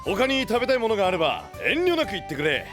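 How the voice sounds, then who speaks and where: A man playing a rough, big-brotherly young knight who speaks in blunt slang in an anime-style audio drama. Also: high voice, wildly swooping pitch, fast, over music. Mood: earnest.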